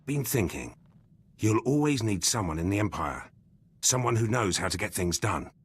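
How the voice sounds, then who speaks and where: An actor voicing a gruff, battle-hardened soldier in a video game, terse and blunt, speaking in clipped sentences. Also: male voice, on the low side, animated, moderate pace. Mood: proud, matter-of-fact. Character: gruff, battle-hardened male military commando, loyal and brash.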